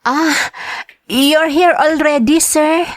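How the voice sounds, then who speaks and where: A woman's voice: high-pitched, fairly even intonation, takes it slowly, no room sound, clean studio sound. A woman acting in a drama as a devoted, homesick Filipino mother working as a nanny, with a Filipino accent and an earnest, polite way of speaking. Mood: kind, polite. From Philippines. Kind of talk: radio drama acting and narration.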